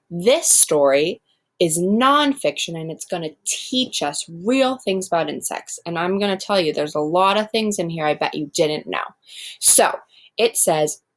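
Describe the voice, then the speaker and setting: A woman teaching first graders in a read-aloud lesson, explaining step by step and speaking directly to her pupils. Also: lowish voice.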